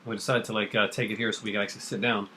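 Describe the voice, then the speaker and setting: A man's voice: lowish voice, level tone, racing, bright, forceful tone. A middle-aged man hosting a casual video chat, with a rambling, opinionated way of talking. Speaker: host of a video channel. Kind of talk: casual chat about comics. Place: kitchen at home. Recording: faint background noise.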